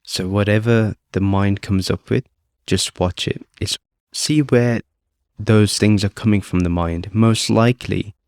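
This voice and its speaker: A man calmly leading a guided meditation as host, speaking off the cuff in short phrases with long pauses. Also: on the low side, gravelly, no room sound, studio quality. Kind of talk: guided meditation, unscripted instruction.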